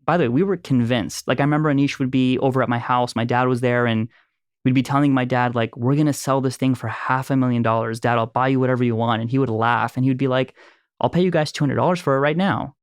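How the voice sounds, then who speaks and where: A smooth-voiced man telling an animated story. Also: mid-range voice, fast, no echo, clean studio sound. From Los Angeles. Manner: animated storyteller, laughs easily. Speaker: filmmaker and producer. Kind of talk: casual conversation, storytelling.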